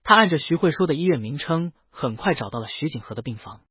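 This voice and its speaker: A man narrates a scripted story. His voice sits a little high and moves through lively, varied intonation, with a husky edge. The recording is close and dry, slightly muffled. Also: fast talker, no echo. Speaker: audiobook narrator.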